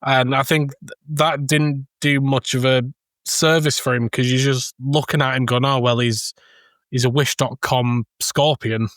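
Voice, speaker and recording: A man's voice: medium pitch, fairly even intonation, unhurried, a bit bright, no room sound, clean studio sound. A man co-hosting a podcast, giving critical opinions on a film in casual conversation. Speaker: podcast co-host.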